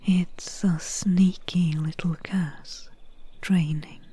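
A woman voicing a calm, mysterious swamp witch healer in a whispery, soft-spoken ASMR roleplay, close to the mic. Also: on the low side, no room sound, full character voice. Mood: hushed, knowing. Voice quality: whispery, breathy. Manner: slow, hushed, unhurried.

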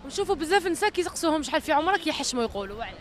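A young Algerian woman reporting from the street. Her voice is high and she talks very quickly into a handheld mic, with faint city noise behind her. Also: very animated. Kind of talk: vox pop interviewing. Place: outdoors on a busy city street. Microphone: handheld microphone.

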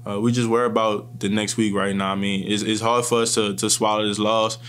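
A young man in his twenties answers post-game questions at a press table. His voice sits fairly low and stays flat, and he keeps it calm and measured with frequent restarts. A faint hum runs under the table microphones. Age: 20s. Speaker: college football player. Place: press room in front of a sponsor backdrop. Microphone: table microphones in front of him.

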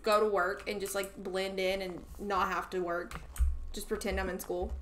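A woman's voice: mid-range voice, little pitch movement, moderate pace, a bit bright. Chatty young woman on noisy voice chat. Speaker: teammate. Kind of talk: casual voice chat.

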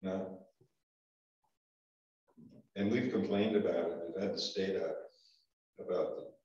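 An older man with a Vermont accent talks through town business in a hesitant way, full of ums and restarts. His voice sits very low. It is heard from far off in an echoey meeting room, with a dull sound. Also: slow, a little mellow. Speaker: select board chair. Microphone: far room camera.

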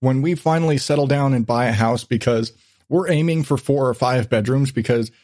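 A man's voice: medium pitch, fairly quick, darkish tone, no echo, clean studio sound. A man hosting a casual podcast chat, rambling along and swearing freely. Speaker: podcast host.

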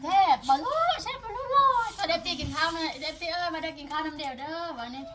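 A woman's voice: high voice, animated, fast, noisy background. A woman with an Isan accent from northeastern Thailand, chatting casually as a vlogger to her viewers and companions. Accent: Isan (northeastern Thai). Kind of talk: casual vlog chat, talking to viewers and companions.

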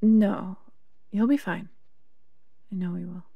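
A woman playing a worried, caring partner in an audio drama, speaking softly and anxiously. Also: mid-range voice, steady tone, takes it slowly, vocal fry, slightly rough, no room sound, dull audio, heavily acted. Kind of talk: roleplay audio drama acting.

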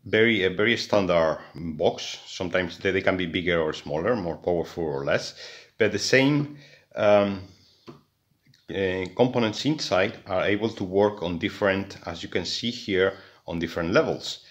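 A man's voice: low voice, normal speed. A man talking through an electronics repair at his workbench, hesitant, with many fillers and restarts. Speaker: electronics hobbyist. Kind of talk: hands-on repair tutorial. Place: indoors at a workbench.

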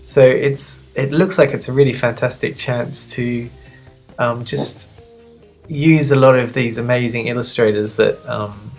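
A sniffly magazine reviewer of medium-pitched voice chatting casually off the cuff, rambling, playful and self-deprecating. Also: steady tone, a little slow, soft background music. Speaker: magazine reviewer and video host.

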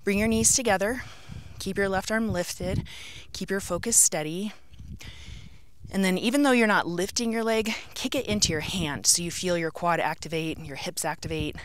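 A middle-aged woman teaching a yoga class, cueing poses and breaths step by step in short, measured phrases. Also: mid-range voice, a little hiss or hum. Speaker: yoga instructor. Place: outdoors on an open rocky canyon rim.

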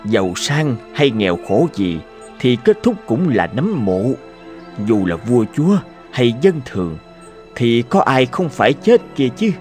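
Calm wise old villager in a cartoon, humble and measured, light music behind. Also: male voice, medium pitch. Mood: reflective. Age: older. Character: humble, serene old male townsman, wise and fearless.

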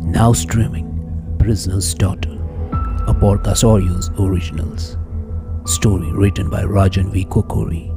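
A man's voice: sits low, fairly even intonation, a little slow, over music. A man announcing a podcast drama in a scripted introduction with cast credits.

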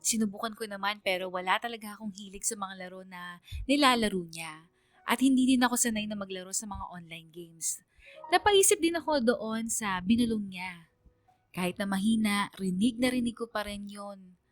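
A woman's voice: medium pitch, normal speed, no echo, soft background music, lightly acted. A woman playing a troubled young student who narrates her romance in a confiding, reflective way, with a Filipino accent. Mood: hurt. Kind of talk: scripted first-person radio drama narration.

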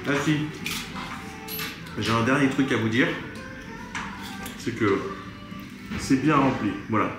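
A man in his thirties chatting enthusiastically to viewers as a travel vlogger, filming himself at arm's length in a small restaurant. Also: medium pitch. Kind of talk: casual travel vlog. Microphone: handheld camera at arm's length. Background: background music.

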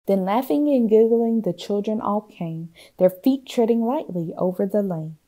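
A woman reading a children's picture book aloud expressively, with theatrical hushes and swells. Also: medium pitch, normal speed. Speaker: storytime reader.

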